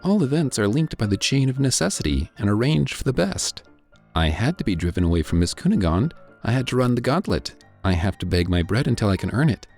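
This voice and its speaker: A man's voice: fairly low pitch, very animated, a little fast, a little mellow, soft background music, voice pushed up. A man voicing a naive, earnest young man who speaks modestly, in a raised voice.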